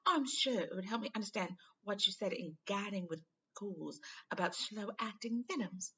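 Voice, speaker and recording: A woman's voice: medium pitch, moderate pace, bright, forceful tone, highs cut off, pitched above the performer's own voice, natural voice. A woman voicing an earnest, bookish young girl, eager and a little bossy, in a storybook reading. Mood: eager, breathless.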